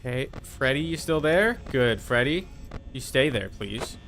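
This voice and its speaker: A man's voice: mid-range voice, expressive, unhurried, a bit bright, slight background noise, low-quality recording. A man livestreaming a video game with casual commentary, muttering to himself, repeating phrases and chatting with viewers. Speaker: livestreamer playing a horror game.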